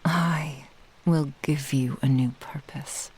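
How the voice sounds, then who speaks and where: A woman playing a possessive, doting fox-spirit witch in an intimate ASMR roleplay, teasing in formal old-fashioned phrasing and often dropping into breathy whispers. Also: rumbling low, fairly even intonation, slow, a little mellow, full character voice, poor audio. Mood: possessive, soothing. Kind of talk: ASMR roleplay, intimate scripted monologue to the listener.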